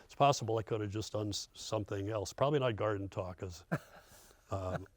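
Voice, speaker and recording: A man's voice: fairly low pitch, moderate pace, dry recording. An older American man, a veteran radio host, reminisces casually with a dry, self-deprecating humour. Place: radio studio.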